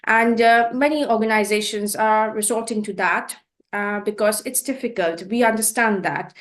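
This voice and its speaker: A woman's voice: medium pitch, steady tone, moderate pace, fairly bright tone. A woman hosting an interview, posing long questions politely with many fillers. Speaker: host.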